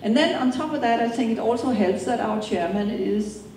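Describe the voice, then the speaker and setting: A middle-aged woman with a Danish accent, answering interview questions on stage and often pausing on ums and restarts. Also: fairly high pitch, darkish tone, a little hiss or hum. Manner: hesitant, many ums and restarts. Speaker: company co-founder, guest. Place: event hall. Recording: echoey hall from far off.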